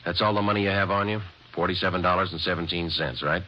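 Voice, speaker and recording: A man's voice: sits low, quick pace, sharp tone, slight background noise. An actor playing a dedicated male police sergeant who narrates in a clipped, matter-of-fact way, in a 1950s radio drama. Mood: terse. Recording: dull old radio broadcast with music.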